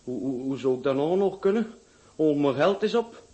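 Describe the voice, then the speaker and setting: An actor playing a poor, timid drunken apple peddler, stammering and pleading in a radio play. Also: male voice, fairly high pitch, animated, normal speed, warm tone, natural voice. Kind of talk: radio drama acting.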